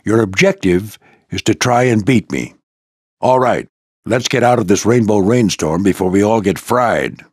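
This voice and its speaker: An older American man voicing a proud, gruff old military plane who mentors a squadron in a video game, with a gravelly voice and a commanding, folksy manner. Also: lowish voice, expressive, moderate pace, a little mellow, no echo, studio quality. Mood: commanding, encouraging. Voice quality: gravelly, husky. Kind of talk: scripted video game character lines.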